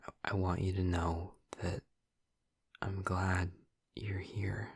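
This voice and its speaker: Gentle, awkward male vampire roommate, acted by a man: deep, gravelly, soft-spoken and hesitant, intimate roleplay delivery up close. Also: flat delivery, no echo, heavily acted. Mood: awkward, sincere. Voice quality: soft, breathy, husky. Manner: hesitant, halting, tender. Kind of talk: intimate audio roleplay addressed to the listener. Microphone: close, dry microphone.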